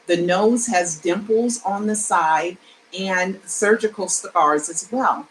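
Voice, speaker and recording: A woman reading her own screenplay aloud, pausing to explain and correct herself in an informal way. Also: mid-range voice, slight background noise, low-quality recording. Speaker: screenplay reader.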